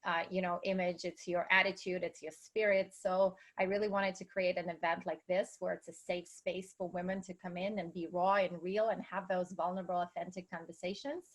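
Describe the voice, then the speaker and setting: A woman's voice: on the low side, little pitch movement, fairly quick, hardly any pauses. Motivational woman with a Russian accent. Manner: enthusiastic, motivational speaker tone. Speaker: guest, entrepreneur. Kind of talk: interview conversation.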